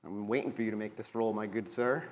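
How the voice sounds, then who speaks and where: A man's voice: on the low side, fairly even intonation, fast, highs cut off, very noisy. A man working as game master, running a live tabletop roleplaying game. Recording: live convention show recording.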